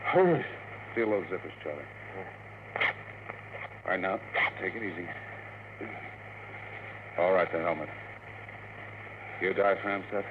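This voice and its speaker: A man's voice: low voice, measured pace, long pauses, narrow, tinny audio. An actor playing a practical spaceman who leads a crew, terse and commanding, in a 1950s radio drama. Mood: urgent, careful. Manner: terse, commanding, matter-of-fact. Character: gruff, practical spaceman leading a crew, male. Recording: noisy, thin, distant old radio recording.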